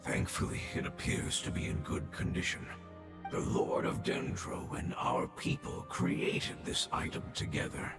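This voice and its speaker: An actor playing a dying ancient male creature who speaks in a hushed, gravelly, solemn way in a game cutscene. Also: close to an everyday voice. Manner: solemn, measured farewell. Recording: echoey voice over game music.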